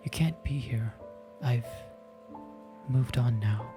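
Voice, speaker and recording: A man voicing a sorrowful, gentle but resolute wife in a visual novel, speaking softly and imploringly. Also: mid-range voice, slow, music in the background, close to an everyday voice. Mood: tearful pleading.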